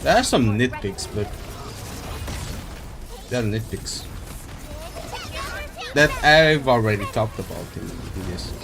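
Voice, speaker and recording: Slow-paced man with lively, swinging pitch. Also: creaky, background noise. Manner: rambling, thinking aloud, swears casually. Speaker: game streamer. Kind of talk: casual live-stream chat while playing. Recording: game music behind the voice.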